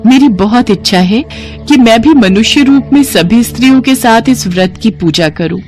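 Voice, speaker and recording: A gentle, devoted goddess, voiced by a woman speaks earnestly and formally to her lord in a storybook tale. The female voice moves expressively, with music playing behind it. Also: on the high side, lively intonation, normal speed, close to an everyday voice. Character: goddess Parvati, devoted, gracious, gentle wife.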